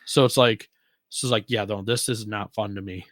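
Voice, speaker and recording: A man's voice: fairly low pitch, steady tone, normal speed, a bit bright, no echo, clean studio sound. An American man co-hosting a casual off-roading podcast, talking in an informal, slangy way with occasional swearing. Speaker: podcast co-host. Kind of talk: casual conversation about off-roading.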